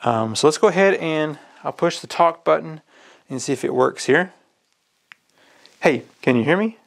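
A man's voice: fairly high pitch, expressive, moderate pace, gravelly. An American man in his thirties giving a casual, hands-on product review.

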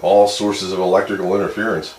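A deep-voiced man chats casually about technical things as a radio operator. His voice sits in an echoey room with clear background noise. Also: little pitch movement, a little fast. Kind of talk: casual technical chat over two-way radio.

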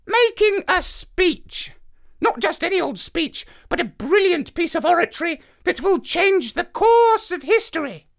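A man's voice: extremely high pitch, very animated, a little slow, fairly bright tone, voice pushed up, exaggerated voice. A man voicing a haughty, megalomaniac parrot in a squawky screech for a children's story. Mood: pompous. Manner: haughty, orating, self-important. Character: pompous megalomaniac male parrot, screechy and imperious.

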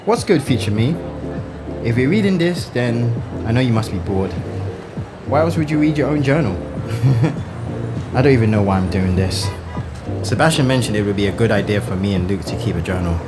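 A man playing a cheeky, excitable teenage schoolboy in a motion comic, with a London accent and slangy, animated delivery. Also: medium pitch, normal speed, long pauses, music in the background, lightly acted. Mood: chatty, self-deprecating. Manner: animated, colloquial. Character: excitable, cheeky Nigerian-born London schoolboy in his teens.

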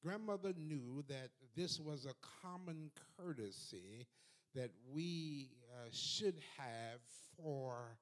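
An older man preaching a sermon from a church pulpit into a handheld microphone, measured at first and building to fervent repetition. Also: on the high side. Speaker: pastor. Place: church sanctuary.

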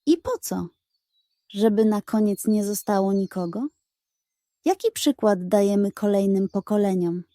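A woman's voice: mid-range voice, a little slow, warm tone, no echo, clean studio sound, close to an everyday voice. Proud animal war leader , acted by a woman, earnest and dignified, audio storybook. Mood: earnest, impassioned.

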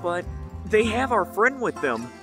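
A man's voice: sits high, big pitch swings, unhurried, over music, exaggerated voice. A man playing an anxious, hesitant male villager fretting over a friend in an audio drama. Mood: worried. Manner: hesitant, worried.

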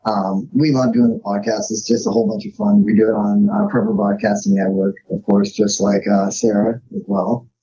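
A man's voice: fairly low pitch, expressive, moderate pace, gravelly, few pauses, mellow, husky. A laid-back, self-deprecating man talking casually as a podcast guest, on a dull lo-fi connection. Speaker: podcast guest, firearms author. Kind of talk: casual interview chat.

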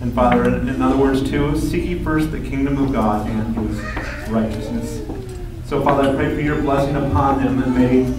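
A man saying an earnest prayer with pauses and fillers, holding a handheld mic in a large banquet hall. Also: mid-range voice. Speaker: relative giving a blessing. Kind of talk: spoken prayer. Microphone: handheld mic, far camera. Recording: echoey hall from far off, noisy.

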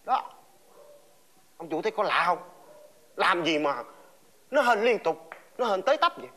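An actor playing an eager, flattering servant in a comic fairy-tale drama, with a Southern Vietnamese accent and a repetitive, stammering delivery. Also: male voice, high voice, moderate pace, exaggerated voice. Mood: puzzled, gossipy. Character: eager, flattering male servant of a rich master.

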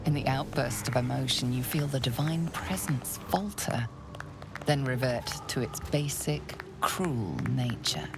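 A woman playing a solemn, ominous narrator of a fantasy game, speaking in a hushed, deliberate way. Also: a bass voice, background noise. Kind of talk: scripted dramatic narration. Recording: loud game music behind.

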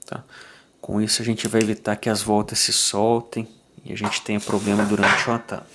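A man with a somewhat low voice talks through a fly tying tutorial in a calm, methodical way. He is from Brazil and keeps checking in with his viewers after each step, as if sitting at his own tying bench. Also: fairly quick, background noise. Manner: calm, methodical, ends phrases with "tá?".

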